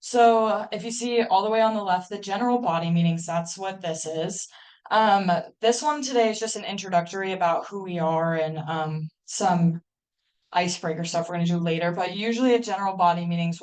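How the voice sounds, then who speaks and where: A woman's voice: on the low side, darkish tone, rough recording. A college woman in her twenties from Ohio, giving an informal welcome talk as club president in a classroom, with frequent "um"s. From Ohio, USA. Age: 20s. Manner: friendly, informal, uses many "um"s.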